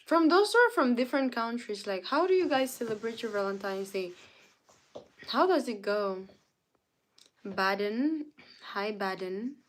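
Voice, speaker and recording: A woman's voice: on the high side, lively intonation, measured pace, long pauses. A young woman from Canada hosting a casual live stream, greeting viewers by name and answering their chat comments. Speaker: livestreamer.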